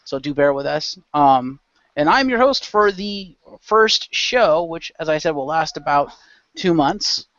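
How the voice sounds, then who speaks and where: Guy hosting a roleplay podcast, kinda high voice, chatty narrating, muffled dry mic. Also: animated, a little slow, fairly bright tone, no room sound. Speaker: host and game master. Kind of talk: casual chat and narration introducing a role-play show. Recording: dull lo-fi close microphone.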